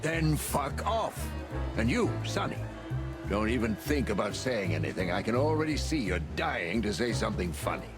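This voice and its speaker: An actor playing a gruff, hot-tempered police chief in a noir video game, barking and sarcastic with music underneath. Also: male voice, mid-range voice, big pitch swings, normal speed, natural voice. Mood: angry, sarcastic.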